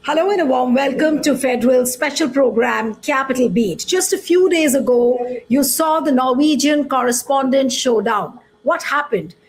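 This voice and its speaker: Host: woman, bright high register, formal news manner, pushes follow-ups. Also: normal speed, few pauses, low-quality recording. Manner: formal, pressing follow-up questions. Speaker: show host. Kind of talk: news interview and programme intro.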